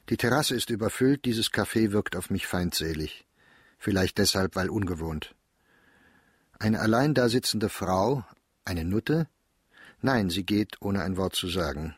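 Male narrator, husky and low, dry close studio sound, calm reflective diary reading. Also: dry recording. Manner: measured, reflective, understated. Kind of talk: calm literary reading for radio drama.

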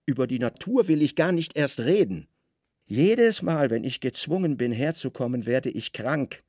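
A man's voice: mid-range voice, expressive, moderate pace, a little mellow, no room sound, studio quality, natural voice. An actor playing a weary, imperious Roman governor in a radio drama, irritable, brooding and probing in his questions. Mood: weary, irritated.

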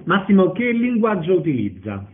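A man interviews on the radio in a fairly high voice with lively, swinging intonation. The sound is thin and narrow, like a phone connection. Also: normal speed.

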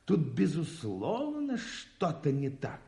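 An actor in a radio play voicing a pompous English club gentleman, in an echoey room. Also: a little high, wildly swooping pitch, slow pace, gravelly, heavily acted. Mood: confiding. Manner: pompous, confiding. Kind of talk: 1980s radio play acting.

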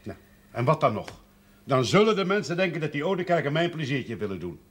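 An actor playing a proud, suspicious middle-aged father in a television drama, gruff and dismissive. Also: a little high, sing-song, brisk. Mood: scornful. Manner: gruff, dismissive, needling questions. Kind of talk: naturalistic television drama acting.

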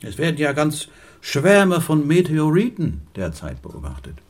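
An actor playing a learned gentleman in an audio drama, chatting in old-fashioned educated diction. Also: on the high side, wildly swooping pitch, unhurried, exaggerated voice. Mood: intrigued. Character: learned gentleman guest marvelling at celestial oddities.

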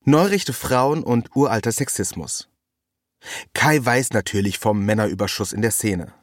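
A man narrating a scripted first-person literary text in a measured, ironic manner. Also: on the low side, no room sound, clean studio sound.

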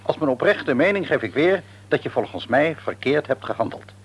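Frank older father, husky, expressive. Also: on the high side, moderate pace. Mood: reasoning. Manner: reasoning, hesitant at times, frank. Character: measured, frank older father gently reproving his grown son. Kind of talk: radio drama acting.

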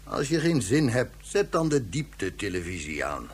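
A man's voice: mid-range voice, big pitch swings, normal speed, breathy edge. An actor playing a pompous, long-winded male official who gives solemn, ornate speeches in a radio play. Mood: kindly. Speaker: dignitary giving a ceremonial speech.

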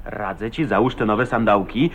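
An actor playing a teasing, protective husband in a radio drama, with an expressive manner. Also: male voice, on the low side, normal speed, lightly acted. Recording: slightly noisy 1980s radio recording.

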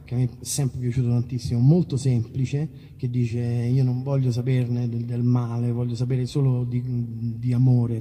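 An Italian man, a musician, chatting informally and haltingly to a concert audience as he introduces songs. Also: medium pitch, warm tone. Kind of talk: casual spoken song introduction to an audience. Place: live outdoor evening concert in a park olive grove.

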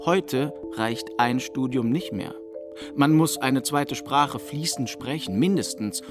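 A man reading a scripted narration in a plain, steady way. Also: medium pitch. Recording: music underneath.

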